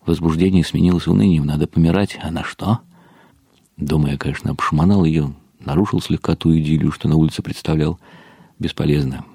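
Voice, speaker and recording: An actor playing a weary, bitter older husband, narrating a radio drama in a brooding, ironic way with drops into whispers. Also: male voice, very deep voice, steady tone, a little fast, vocal fry, dark tone, husky, dry recording, studio quality, exaggerated voice. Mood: weary despair. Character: weary, bitter husband of an alcoholic, wryly despairing. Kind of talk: dramatized first-person literary narration for radio. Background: faint music.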